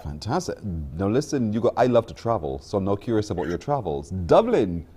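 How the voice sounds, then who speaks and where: A man hosts a morning television interview in an easy, chatty way. His voice swings up and down with lively intonation, and his long questions wander as he laughs along. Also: lowish voice, brisk, slight background noise. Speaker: morning TV show host. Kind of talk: broadcast interview.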